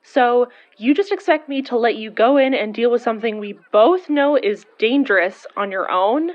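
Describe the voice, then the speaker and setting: An actress playing a protective, blunt young sidekick in audio fiction, talking in an informal, wry and emphatic way. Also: female voice, fairly high pitch, moderate pace, dull audio, close to an everyday voice. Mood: protective, insistent. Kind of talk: audio fiction dialogue acting.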